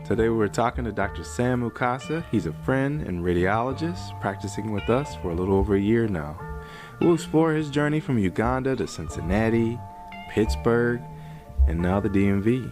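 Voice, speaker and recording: Brief: low-pitched male co-host, measured intro over guitar. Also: lively intonation. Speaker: podcast co-host, doctor. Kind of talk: scripted intro and casual questions.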